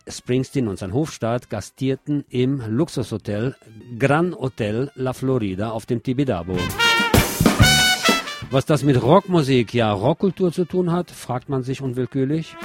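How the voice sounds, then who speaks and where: A man hosting a radio magazine, reading scripted commentary with wry, opinionated asides and emphatic delivery. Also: mid-range voice. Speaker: radio show host. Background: music under the voice.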